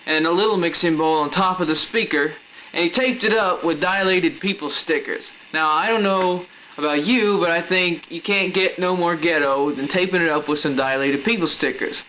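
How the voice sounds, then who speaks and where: Bright-voiced man giving a casual tutorial. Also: a little high, normal speed, poor audio. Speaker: presenter of a DIY how-to video. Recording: noticeable background noise.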